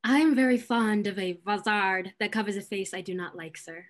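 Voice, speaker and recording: A woman plays a sharp-tongued young lady of period stage comedy. She is proud and disdainful, speaking at a medium pitch with cool, pointed theatricality. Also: normal speed, natural voice. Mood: cool, mocking. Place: indoors at home on video call.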